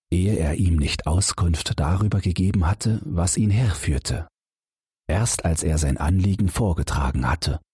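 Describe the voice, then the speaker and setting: Deep husky man reading a fairy tale. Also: no echo, studio quality. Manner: calm, expressive storytelling. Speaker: audiobook narrator.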